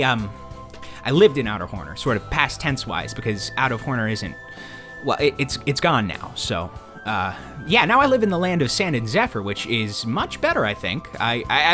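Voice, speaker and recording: Man acting a bright-voiced, stammering young troll boy. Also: on the high side. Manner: halting, stammering, self-doubting. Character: timid young male alien troll, anxious and eager to please. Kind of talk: audio drama monologue and dialogue.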